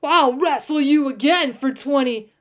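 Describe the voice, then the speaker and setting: A woman's voice: fairly high pitch, expressive, a little fast, lightly acted. A woman voicing a young cowboy from a storybook, folksy, earnest and aggrieved as he bluffs. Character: young cowboy, mock-mournful, earnest, bluffing a tall tale. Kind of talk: acted storybook dialogue.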